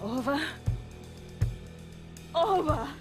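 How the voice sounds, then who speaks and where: Game drama: furious captive woman, slow, gravelly delivery with huge swings, wounded and defiant, heavy music. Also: high-pitched, animated, full character voice. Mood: broken, bitter. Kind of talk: intense video game acting. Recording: loud music behind the voice.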